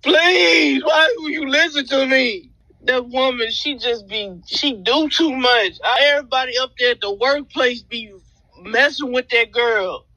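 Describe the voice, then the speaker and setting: A man's voice: very high-pitched, a little slow, bright, forceful tone, no echo, poor audio. A young American man crying and pleading in a whiny, upset way into a phone held close. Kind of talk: emotional pleading on a phone call.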